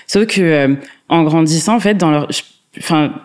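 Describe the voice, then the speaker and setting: A woman's voice: sits low, moderate pace, darkish tone. A young woman in casual conversation, talking with many fillers and restarts. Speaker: co-host. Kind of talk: casual chat.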